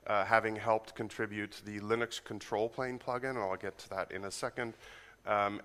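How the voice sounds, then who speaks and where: A man from the Netherlands with an American accent, giving a quick, casual, joking technical talk at a conference. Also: on the low side, bright, forceful tone. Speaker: conference speaker. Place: conference hall. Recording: reverberant conference hall.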